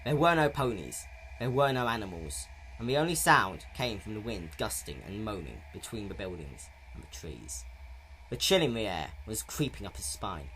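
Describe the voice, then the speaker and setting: Male narrator, lowish pitch, very animated intonation, dramatic story reading, slightly noisy amateur recording. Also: normal speed.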